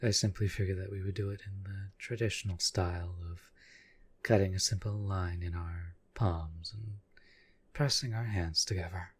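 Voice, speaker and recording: Man playing a deep, husky devoted demon. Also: fairly even intonation, no room sound. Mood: hesitant, curious. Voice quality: low, husky, breathy. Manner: slow, formal, courtly phrasing. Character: devoted, lovestruck male demon bound to a summoner. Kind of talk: intimate audio roleplay addressed to the listener.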